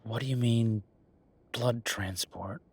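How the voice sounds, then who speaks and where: A man playing an exasperated, firm werewolf bureaucrat in an audio roleplay, talking emphatically in a dry, husky voice. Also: medium pitch, slow pace, darkish tone. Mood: patient, insistent. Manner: exasperated, emphatic, repeating himself. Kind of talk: audio roleplay acting, one side of a phone call.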